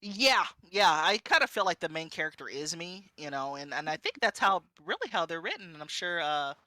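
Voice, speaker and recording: A woman's voice: lowish voice, lively intonation, brisk, bright, forceful tone, dry recording. A woman from Southern California chatting casually as a streamer on a panel, in an informal, rambling way peppered with "you know". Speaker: visual novel streamer, panelist.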